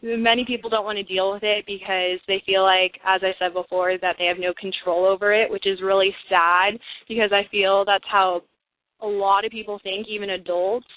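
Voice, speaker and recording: A woman's voice: medium pitch, no echo. Earnest young woman on a phone line. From California. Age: young adult. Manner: earnest, chatty, with many fillers. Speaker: guest. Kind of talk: casual phone interview.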